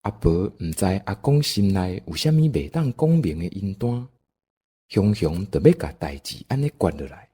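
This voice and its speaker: Dark, husky, animated Taiwanese male storyteller. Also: fairly low pitch, moderate pace. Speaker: narrator. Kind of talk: scripted storytelling narration.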